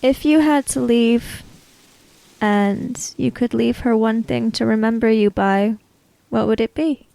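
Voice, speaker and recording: Woman playing a slow, formal female samurai. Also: a little high, steady tone, creaky, dark tone, no room sound, low-quality recording. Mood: gentle, solemn. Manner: measured, formal, reserved. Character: calm, courteous female samurai questioning a ghost.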